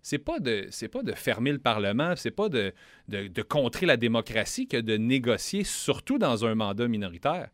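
A man's voice: mid-range voice, big pitch swings, normal speed, no echo, studio quality. A young man with a Quebec French accent, a member of parliament talking earnestly in a radio interview, often restarting his words.

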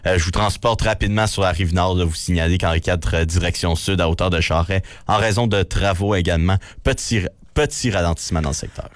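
A man's voice: low voice, fast talker, hardly any pauses, no echo. A man with a Quebec French accent reads traffic and news items on the radio, matter-of-fact, with slangy remarks between items. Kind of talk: radio news bulletin, read briskly with casual asides.

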